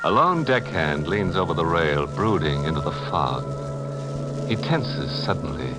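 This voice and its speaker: An actor playing a macabre horror show host, narrating with wry, theatrical deliberation in 1940s radio style. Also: male voice, sits low, big pitch swings, unhurried. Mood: suspenseful. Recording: old radio recording with music.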